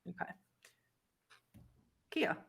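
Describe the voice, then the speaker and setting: A woman speaking as game master of a streamed tabletop roleplaying session, agreeing readily with players. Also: very slow.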